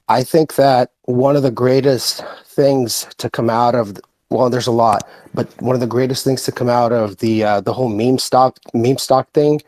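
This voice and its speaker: A man with an American accent giving earnest opinions in a casual, halting way in an online group chat. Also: medium pitch, a little mellow, no echo. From born in Russia, raised in the United States from age five. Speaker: guest speaker in online group audio chat.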